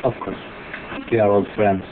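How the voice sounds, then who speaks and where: A man, a tailor, answering questions in halting, simple English. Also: fairly low pitch, flat delivery, noisy background. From Cyprus. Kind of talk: interview answers. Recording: tinny lo-fi audio.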